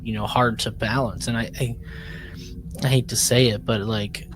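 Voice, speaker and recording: Lowish-voiced man in casual chat. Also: steady tone, moderate pace, noisy background. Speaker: podcast co-host. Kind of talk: casual chat about a sci-fi audio drama.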